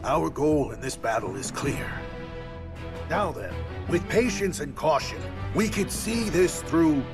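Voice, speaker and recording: Game warrior, measured, loyal, higher-pitched, gravelly, music behind. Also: male voice, lively intonation. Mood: calm, resolute. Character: measured, patient, loyal male warrior leader. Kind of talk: video game cutscene voice acting.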